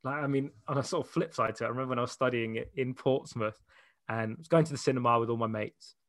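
A man's voice: medium pitch, fairly bright tone, dry recording. Young man, casual interview guest, easygoing anecdotal storyteller, laughs readily. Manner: chatty storyteller, quick to laugh. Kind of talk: casual chat.

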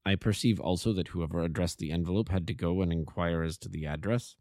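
A man's voice: sits low, fast, darkish tone, dry recording, studio quality, voice pushed down. A man doing a cool, clipped Victorian detective. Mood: measured. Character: cool, lordly Victorian detective, middle-aged.

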